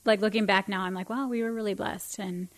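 A woman's voice: medium pitch, steady tone, a little fast. A woman country singer, a guest on a podcast, talking in a friendly, rambling way and laughing easily. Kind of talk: casual interview chat.

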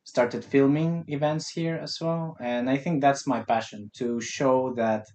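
A young man in his twenties, born in Kazakhstan and raised in the UAE, chats as a studio guest. His delivery is laid-back and quiet, full of casual fillers. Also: mid-range voice, unhurried. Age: 20s. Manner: relaxed, soft-spoken, uses many fillers like "you know". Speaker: guest, DJ and event filmmaker. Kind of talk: casual interview chat.

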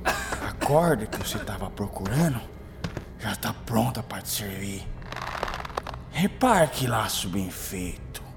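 An actor playing a cruel, domineering plantation overseer in a radio drama, with a gravelly, taunting delivery. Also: male voice, on the low side, big pitch swings, takes it slowly, pauses often, exaggerated voice. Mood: sinister taunting. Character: cruel, menacing plantation overseer, a strong man. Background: loud dramatic music.

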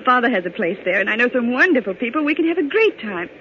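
An actress playing a doting, coquettish young society bride in a 1940s radio drama, cooing and affectionate. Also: female voice, fairly high pitch, big pitch swings, fast. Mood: playful. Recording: tinny, faintly noisy old radio recording.

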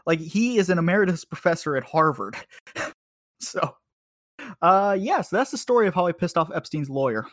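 A fairly high-voiced man in casual podcast talk. He swings his pitch about as he jokes, teases and swears freely. The sound is dry. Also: lively intonation, normal speed, fairly bright tone, dry recording. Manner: profane, joking, teasing. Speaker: podcast co-host. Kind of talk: casual banter and storytelling.

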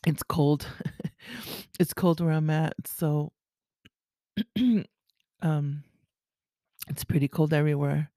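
A woman with a raspy voice speaking haltingly and earnestly in a confessional, faith-based podcast monologue. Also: deep voice, level tone, warm tone, no room sound, studio quality. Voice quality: raspy early-morning voice. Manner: halting, earnest, with throat clearing. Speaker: podcast host.

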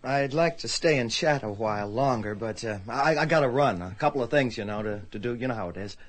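A man's voice: mid-range voice, a little fast, close to an everyday voice. A man playing a skeptical, exasperated buddy in a radio drama, emphatic and sputtering. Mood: awkward.

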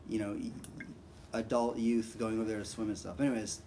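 A man's voice: fairly low pitch, little pitch movement, a little fast, warm tone, a little hiss or hum. A man hosting a podcast, telling rambling, profane stories in casual conversation. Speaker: podcast host. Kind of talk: casual chat, storytelling.

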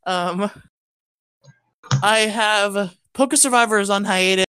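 A woman's voice: mid-range voice, fairly even intonation, slow pace, fairly bright tone, smooth, dry recording. Playful woman hosting a podcast. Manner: playful, rambling plugs. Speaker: podcast host. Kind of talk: casual podcast outro chat.

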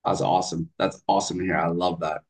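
A man's voice: deep voice, flat delivery, fast. A man from British Columbia, Canada, a basketball coach talking earnestly as a podcast guest, with frequent fillers and restarts. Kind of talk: casual podcast interview. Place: Vietnam.

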